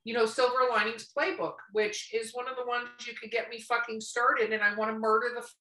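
A woman's voice: fairly high pitch, flat delivery, fairly quick, pushed and bright. A woman co-hosting a podcast in casual chat, speaking bluntly and emphatically and swearing freely. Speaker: podcast co-host. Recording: roomy echo, distant from mic.

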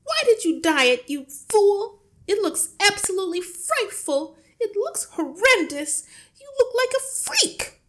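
A woman voicing a hysterical, scornful wife and mother in a storybook, shrieking and scolding in a shrill voice. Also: very animated, unhurried, a bit bright, full character voice.

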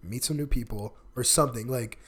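A man's voice: medium pitch, fairly quick, fairly bright tone, husky. A young man in casual chat, telling rambling stories with many fillers and swearing. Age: young adult.

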